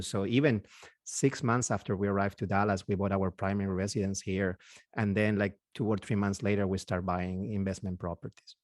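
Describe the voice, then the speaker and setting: A man from Peru with a Peruvian Spanish accent, in his forties, chatting as an interview guest, halting and repeating words, laughing often. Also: fairly low pitch, darkish tone, no room sound, studio quality. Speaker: guest, physician and investor. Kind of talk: casual interview chat.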